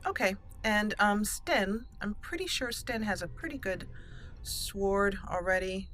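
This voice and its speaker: A woman's voice: mid-range voice, a little slow, a bit bright. A woman hosting a roleplay let's play, chatting and explaining as she plays, with music behind her. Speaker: gaming channel host.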